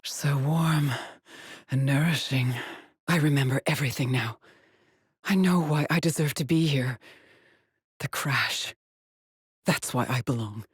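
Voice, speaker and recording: Game lead: weary, obsessive woman explorer, husky and deliberate, slipping into whispers, very dry close recording. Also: extremely low pitch, dry recording, exaggerated voice. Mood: haunted, resigned. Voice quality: husky, breathy, often dropping to a whisper. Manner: slow, deliberate, cryptic pronouncements. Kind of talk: dramatic video game monologue, log-like narration.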